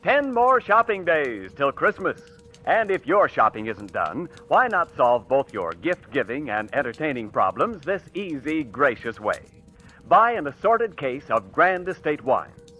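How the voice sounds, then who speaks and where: A man's voice: fairly high pitch, sing-song, measured pace, sharp tone, highs cut off. An American man announcing a scripted 1940s radio commercial with a polished, emphatic sales delivery. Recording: vintage radio broadcast, faint music.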